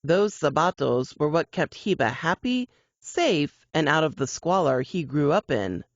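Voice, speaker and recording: A woman's voice: deep voice, very animated, measured pace, dry recording. A woman narrates fiction with suspense and expression. Her intonation swings widely from line to line. The sound is dry, with a slightly muffled, lo-fi finish. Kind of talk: dramatic audiobook-style narration.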